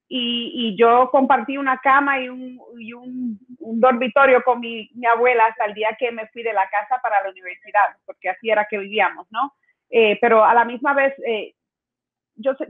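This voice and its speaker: A middle-aged woman from Brooklyn answering interview questions earnestly over a video call from home, often restarting her words. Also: on the high side. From New York, Brooklyn. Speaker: mayoral candidate guest. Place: home room with bookshelves. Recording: tinny phone-like video call audio.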